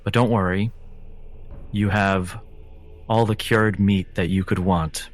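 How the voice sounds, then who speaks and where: A man's voice: low voice, fairly even intonation, takes it slowly, soft background music. A man playing a menacing, smug lord who speaks in a pointed, taunting way. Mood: cold taunting.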